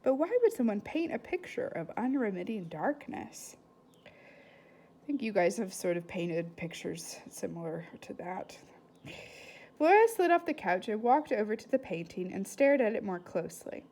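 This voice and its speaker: A woman's voice: mid-range voice, sing-song, normal speed, warm tone. A woman reading a storybook aloud expressively, with dramatic emphasis and casual asides to her listeners. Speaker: read-aloud reader.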